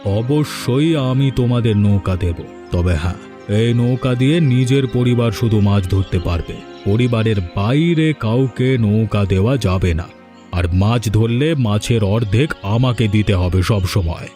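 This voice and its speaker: A man voicing a clever, kindly rich businessman from the city in a cartoon, speaking calmly and with confidence. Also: lowish voice, unhurried, music in the background, close to an everyday voice. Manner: calm, assured, instructing. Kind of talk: cartoon voice acting.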